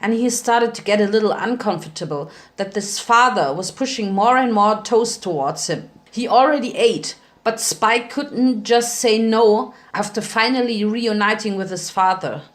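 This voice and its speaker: A woman's voice: mid-range voice, moderate pace, few pauses. A woman narrating fan fiction as a dramatic reading. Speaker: story narrator.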